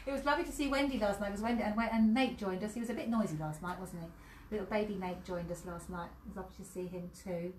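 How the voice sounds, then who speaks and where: A middle-aged British woman chats her way through a live sewing show, her voice going hoarse. Her pitch sits in a middle range. The room is echoey, with a faint hiss behind her. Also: steady tone, fast. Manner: chatty, warm-hearted and laughing between remarks. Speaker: sewing teacher and host. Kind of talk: casual live-stream chat. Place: hexagon-shaped sewing room, indoors.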